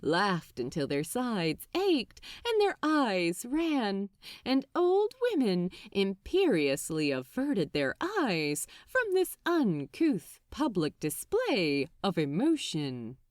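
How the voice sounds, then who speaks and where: A woman reading fiction aloud as an expressive storybook narrator, with theatrical, deliberate phrasing. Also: mid-range voice, dry recording, studio quality.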